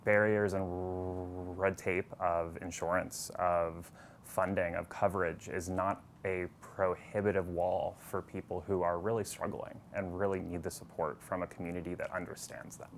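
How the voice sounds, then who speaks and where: Earnest man with a very deep voice. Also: little pitch movement, normal speed, slight background noise. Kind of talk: promotional interview.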